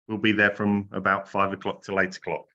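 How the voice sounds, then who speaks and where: A man's voice: sits low, monotone, a little fast, sharp tone, muffled recording. A man hosting a meeting and giving loose, casual closing thanks on a video call. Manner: loose, lots of "you know". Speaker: meeting host.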